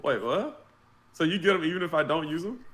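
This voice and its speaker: A man chats as a player at a tabletop game. His voice is a little high for a man and moves around a lot in pitch, in an easy conversational way. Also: lively intonation, brisk, a bit bright. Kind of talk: casual tabletop game chat.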